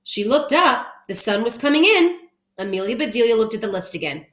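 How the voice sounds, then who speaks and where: A woman speaking as an elementary teacher, expressive and encouraging, in simple words for children and their families. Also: fairly high pitch, brisk. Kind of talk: children's read-aloud with casual talk to families.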